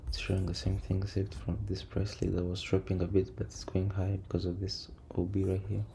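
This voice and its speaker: A man with a low, creaky voice gives casual, hesitant, low-energy commentary as he works through a trading screen, repeating words as he thinks aloud. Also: monotone, brisk, dark tone. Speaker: trader recording a backtesting session. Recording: noisy room, keyboard and typing sounds.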